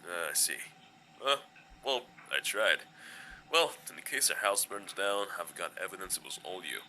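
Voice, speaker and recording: A man in a fan audio drama playing a gentle, weary, laid-back male skeleton, speaking in a hesitant, casual, understated way. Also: low voice, sing-song, moderate pace, a little hiss or hum, full character voice. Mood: dry, bemused.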